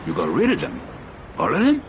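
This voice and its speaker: Game villager: anxious, exasperated, worn down, very animated intonation, slightly dull sound. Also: male voice, high voice, brisk. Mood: wary. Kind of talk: cinematic video game acting. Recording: muffled game capture, faint noise.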